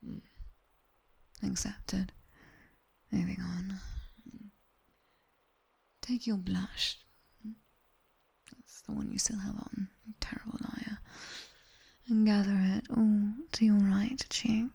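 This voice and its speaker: British woman doing a demon tutor asmr, super breathy whispering, mellow n really slow, strict and teasing. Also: medium pitch, flat delivery, full character voice. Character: sharp-tongued female nightmare demoness tutor, teasing and commanding. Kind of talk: whispered ASMR roleplay, slow guided instruction. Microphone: close binaural microphone.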